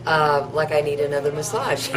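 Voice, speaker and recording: A woman, a cruise passenger, cheerfully describing a spa treatment in a halting, unhurried way. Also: deep voice, noisy background. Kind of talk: casual chat.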